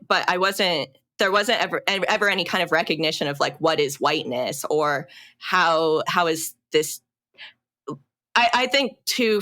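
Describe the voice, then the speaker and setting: A young adult woman, a podcast guest, telling her own story in a halting, reflective way with many fillers and restarts. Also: low-pitched, bright, forceful tone. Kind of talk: personal storytelling in a podcast interview.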